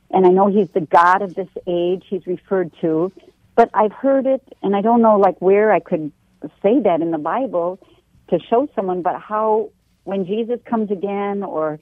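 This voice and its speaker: A woman's voice: medium pitch, no room sound. A woman caller on a radio show stumbles and restarts as she tries to word her question. Her voice comes through a dull, muffled line, dry and close. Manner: hesitant, searching for words.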